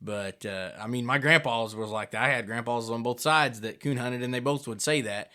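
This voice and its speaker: A man talks casually on a hunting podcast. His pitch is medium. His manner is agreeable and down-home. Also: normal speed, sharp tone. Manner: folksy, agreeable. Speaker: coon hunter in a podcast conversation. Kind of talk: casual chat.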